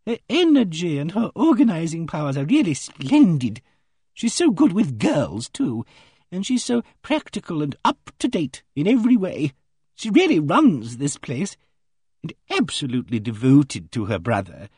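A timid elderly spinster lady, as a male reader puts her on, high and wildly swooping, with a soft, mellow, breathy tone. She talks in a prim, fluttering, gently reproachful way, recorded close and dry. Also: wildly swooping pitch, normal speed, dry recording, heavily acted. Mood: gushing. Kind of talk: audiobook character voice.

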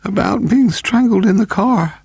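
A man's voice: extremely high pitch, a little slow, creaky, darkish tone, husky, dry recording, voice pushed up, exaggerated voice. A man voicing a terrified, gasping, dying woman in an audiobook mystery. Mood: gasping, desperate.

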